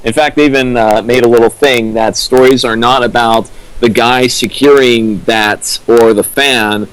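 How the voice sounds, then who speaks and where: A man's voice: mid-range voice, fairly even intonation, measured pace, clean tone, no room sound, low-quality recording. A man giving casual, critical commentary as a co-commentator, with a dry manner.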